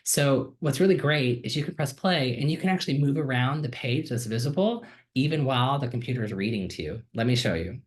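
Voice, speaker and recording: A man's voice: medium pitch, expressive, a little fast, hardly any pauses. A man, a student, giving a casual and enthusiastic screen-share walkthrough of a digital textbook feature, with frequent ums and uhs. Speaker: student giving a software demo.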